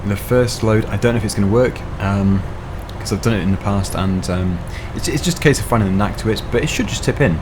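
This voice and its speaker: A young man, slightly hoarse, chatting casually through a game while thinking aloud with fillers. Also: on the low side, fast talker, few pauses, background noise. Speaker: gaming channel host. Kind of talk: casual gameplay commentary.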